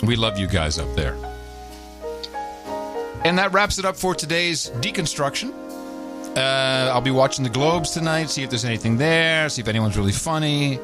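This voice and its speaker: A man co-hosting a podcast in casual chat, excitable and quick to laugh. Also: mid-range voice, music in the background. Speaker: podcast co-host.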